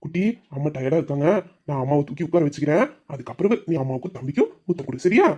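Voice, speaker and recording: A woman acting a tender, protective young husband and new father in a melodramatic audio drama, with a dark husky voice. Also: deep voice, expressive, very fast. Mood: concerned. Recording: dull, muffled lo-fi sound.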